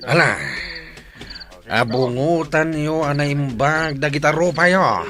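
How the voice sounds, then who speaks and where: A man playing a scheming, menacing gang boss in a radio drama, giving deliberate orders. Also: on the high side, crowd noise, lightly acted. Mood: scheming, firm.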